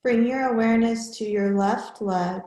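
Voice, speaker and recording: A woman yoga teacher leading a guided relaxation in measured, instructional short phrases with pauses between them. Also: on the high side, warm tone, roomy sound.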